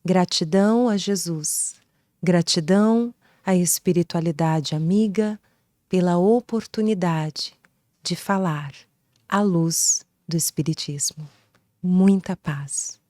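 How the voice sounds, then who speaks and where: A woman from Rio de Janeiro with a carioca accent, a singer guest who speaks in slow, soft, poetic phrases and leads a calm prayer. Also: lowish voice, dry recording, clean studio sound. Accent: Rio de Janeiro Brazilian Portuguese. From Rio de Janeiro, Brazil. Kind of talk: leading a prayer, then interview answers.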